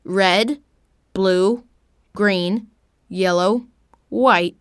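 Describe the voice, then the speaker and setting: A woman's voice: medium pitch, drawn-out words, clear, resonant tone, no echo, clean studio sound. A woman with careful enunciation, delivering words one at a time in a teaching style. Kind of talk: slow word-by-word teaching delivery.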